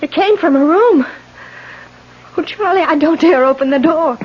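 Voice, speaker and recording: A woman's voice: sits high, normal speed, muffled recording, lightly acted. An actress playing an anxious, easily frightened landlady in old-time radio drama, hesitant and stammering, on a vintage recording. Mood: panicked, horrified. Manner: hesitant, stammering, breathlessly fretful.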